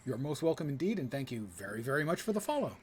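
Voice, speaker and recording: A man's voice: mid-range voice, sing-song, fast, slight background noise. A man live-streaming a video game, commenting casually and reading quests aloud with wry, self-deprecating, wordy humour.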